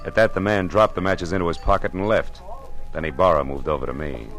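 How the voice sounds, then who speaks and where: A man's voice: low-pitched, lively intonation, a little fast, over music. An actor playing a tough, wisecracking private eye who narrates his own case in first person, in old-time radio drama style. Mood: narrating. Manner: hard-boiled, wry first-person narration. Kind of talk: 1940s radio drama acting.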